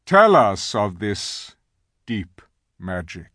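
A performer voicing a grave, majestic male lion king in a storybook, stern and measured with a growl. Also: very animated, fairly bright tone, no room sound, clean studio sound, exaggerated voice. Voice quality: low growl.

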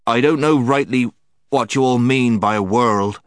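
A man's voice: fairly low pitch, very animated, normal speed, a bit bright, no echo, clean studio sound. A man voicing a stubborn, gloomy male marsh creature in a storybook, plain-spoken and labouring for breath. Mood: struggling, defiant. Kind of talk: dramatised storybook reading.

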